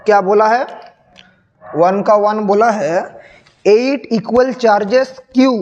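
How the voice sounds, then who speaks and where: A young male physics teacher with a sore, hoarse throat, slowly working through a sample paper and repeating questions to his students. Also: very high voice, a little mellow, highs cut off. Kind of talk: solving a sample paper on screen. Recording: long reverb.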